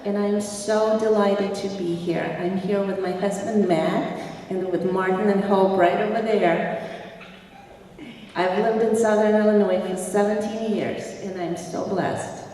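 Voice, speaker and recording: A woman's voice: medium pitch, fairly even intonation, measured pace, warm tone. Woman, fluent, delighted, long reverb, faint hiss. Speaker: new citizen giving a short speech. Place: large courtroom or hall. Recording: echoey hall, heard from far off.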